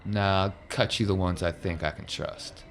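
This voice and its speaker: A man plays a gruff sci-fi hangar boss in a tabletop game, speaking in a low voice. He is blunt and protective, with clipped, folksy phrasing. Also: steady tone, normal speed, a bit bright, slight background noise. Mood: protective, matter-of-fact. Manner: terse, blunt, drawling folksy grammar. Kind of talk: improvised tabletop roleplay.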